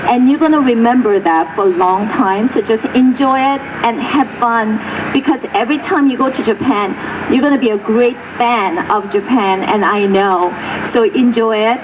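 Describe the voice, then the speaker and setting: A woman's voice: on the high side, normal speed. A woman from Korea, a local official, giving a chatty, upbeat and informal speech at an outdoor festival. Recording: tinny lo-fi PA recording, noisy.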